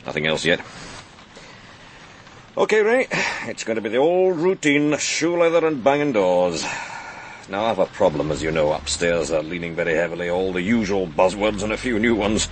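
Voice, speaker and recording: Senior police detective, low voice, authoritative, dry, impatient, radio crime drama, slightly noisy recording. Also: male voice, sing-song, normal speed. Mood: weary, businesslike. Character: impatient senior police detective, male, running a murder investigation.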